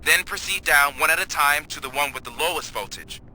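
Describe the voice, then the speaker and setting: A man's voice: on the high side, brisk, bright, forceful tone, a little hiss or hum, rough recording. An actor playing an earnest, nervous rookie policeman in a video game, eager, flustered and hesitant. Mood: nervous, focused.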